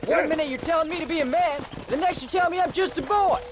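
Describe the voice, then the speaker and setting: A man's voice: very high voice, fast, crowd noise. A male actor playing a rancher's moody, eager teenage son in a video game, with a rural American Western accent and an earnest, questioning manner. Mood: resentful. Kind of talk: dramatic video game dialogue. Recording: very loud outdoor horse-ride ambience over dialogue.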